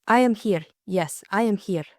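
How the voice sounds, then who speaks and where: A woman's voice: medium pitch, normal speed, no echo, studio quality, close to an everyday voice. A woman voicing a theatrical Hungarian countess, murmuring and seductive, in an audiobook. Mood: dazed, murmuring. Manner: murmuring, soft, dramatic. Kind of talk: audiobook character voice.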